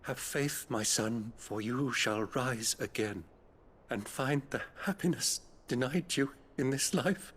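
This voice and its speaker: An actor playing a gentle, solemn priest who tends the dying in a video game cutscene, speaking softly and with measured care. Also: male voice, mid-range voice, slightly rough. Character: gentle older male abbey priest, solemn and kindly.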